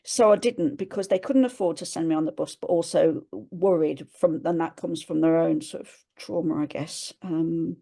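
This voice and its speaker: A woman's voice: low-pitched, moderate pace, vocal fry, few pauses, warm tone. A woman from England, sharing a personal reflection on a video call in a hesitant way, with frequent restarts as she searches for words. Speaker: webinar participant. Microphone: computer mic on a video call.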